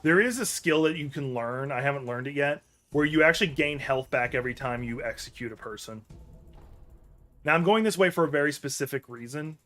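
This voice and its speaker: A man's voice: a little high, expressive, fairly quick, fairly bright tone, faint music behind. A man streaming an action game, giving loose, rambling commentary as he plays. He often corrects himself mid-sentence and chats to his viewers. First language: English. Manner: rambling, self-correcting, talks to chat as he plays. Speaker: gaming streamer. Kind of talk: casual let's-play commentary while playing.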